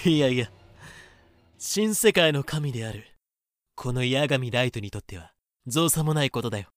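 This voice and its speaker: A man playing a self-important, calmly confident young would-be god, explaining smugly in a fan-made comedic audio drama. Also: mid-range voice, dry recording, clean studio sound, soft background music, natural voice. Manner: smug, measured explaining.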